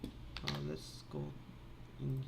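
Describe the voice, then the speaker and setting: Gravelly guy muttering to himself while debugging, lots of uhs, slow pace. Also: rumbling low, sing-song, very noisy. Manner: musing, halting, many filler uhs. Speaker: Linux developer screencasting. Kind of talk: casual thinking aloud while coding. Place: office.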